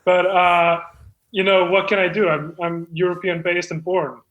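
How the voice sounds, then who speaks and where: A man playing a pretentious amateur screenwriter in a comedy table read, with a halting, self-important way of talking. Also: high-pitched, little pitch movement, narrow, tinny audio, natural voice. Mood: pretentious, rambling. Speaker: screenwriter reading stage directions at a table read.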